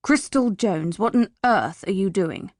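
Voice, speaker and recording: A woman voicing a stern but kindly female teacher in a storybook, with a brisk, bossy, scolding manner. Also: mid-range voice, lively intonation, gravelly, no room sound, studio quality.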